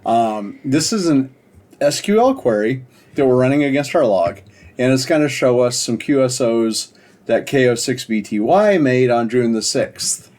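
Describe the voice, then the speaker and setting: A man presenting a casual screen-share software demo in an informal, halting, explanatory way. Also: medium pitch, lively intonation. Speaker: presenter demonstrating a software project.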